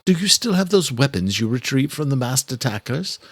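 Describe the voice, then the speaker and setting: A man's voice: medium pitch, sing-song, fairly quick, husky, no room sound, studio quality, pitched above the performer's own voice. A man voicing an earnest, worried doctor in a thriller audiobook, explaining with care and loyal concern. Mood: determined. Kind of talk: horror thriller audiobook character.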